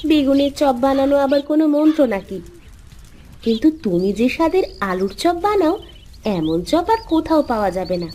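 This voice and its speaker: Woman voicing a very animated village housewife. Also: on the high side, a little hiss or hum. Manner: expressive, chatty. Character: village housewife, chatty, affectionately teasing sister-in-law. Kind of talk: Bengali cartoon voice acting.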